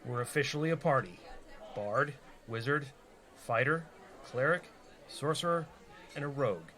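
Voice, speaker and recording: An actor playing a reluctant, gruff rogue leader in a comedic fantasy radio play, with a dry, businesslike manner. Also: male voice, medium pitch, animated, moderate pace, bright, forceful tone. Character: gruff, reluctant male rogue leading an adventuring party.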